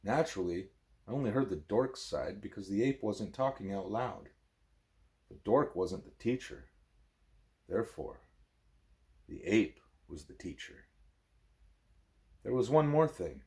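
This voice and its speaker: A man, a parent, reading a book aloud expressively as a bedtime story, with a wry, deadpan manner. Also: fairly low pitch, measured pace, long pauses.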